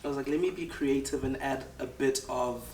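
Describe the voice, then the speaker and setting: A man's voice: medium pitch, a little fast, darkish tone, slight background noise. Playful South African man hosting, echoey room. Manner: chatty storyteller, playful. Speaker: interview host. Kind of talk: casual interview chat. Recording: echoey room, mic at a distance.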